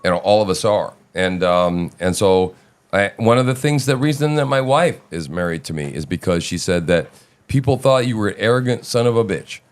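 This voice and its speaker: A man with a fairly low voice hosts a live audio talk show. He speaks casually and emphatically, swears freely, and keeps checking in with his listeners. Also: lively intonation, moderate pace, clear, resonant tone.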